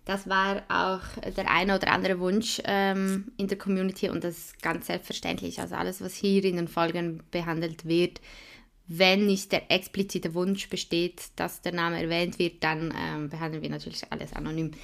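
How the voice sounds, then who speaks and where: A woman's voice: lowish voice, level tone, moderate pace, creaky, fairly bright tone. Chatty young woman hosting a podcast. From Switzerland. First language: Swiss German. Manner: chatty, laughs often, many fillers. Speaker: podcast host. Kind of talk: casual podcast chat.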